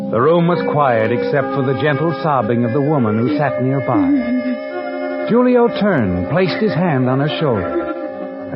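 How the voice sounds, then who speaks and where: An actor playing a mysterious, all-knowing narrator in a 1940s radio mystery, speaking with ominous, dramatic deliberation. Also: male voice, medium pitch. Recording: muffled vintage radio, loud music behind.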